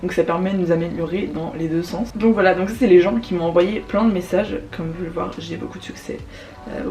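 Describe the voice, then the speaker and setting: Chatty young Frenchwoman, fast and slangy. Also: on the low side, darkish tone, distant mic. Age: young adult. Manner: chatty, slangy, many fillers and asides. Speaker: YouTuber recommending apps. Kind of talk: casual vlog chat with screen demo.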